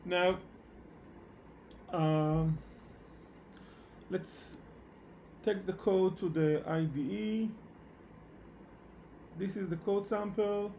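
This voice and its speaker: A man who speaks English as a second language, teaching programming in a live online lecture with halting phrasing and repeated words. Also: sits high, fairly even intonation, creaky, warm tone, slight background noise. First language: not English. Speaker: programming instructor. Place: online meetup session at a computer.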